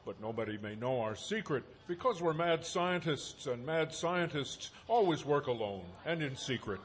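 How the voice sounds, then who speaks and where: A man's voice: fairly high pitch, animated, normal speed, close to an everyday voice. A man playing a scheming, bumbling mad scientist father, melodramatic and flustered, in comic live audio theatre.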